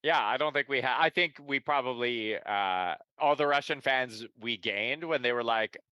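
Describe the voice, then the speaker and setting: A man's voice: medium pitch, moderate pace, pushed and bright, clean tone, dry recording, dull audio. A man co-hosting a podcast, sarcastic and profane in casual chat. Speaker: podcast co-host.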